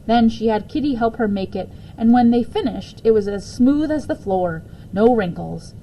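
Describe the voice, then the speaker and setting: A woman reading a children's book aloud as storyteller, expressive in her narration. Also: on the high side, normal speed, rough recording. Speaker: story reader. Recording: faint background noise.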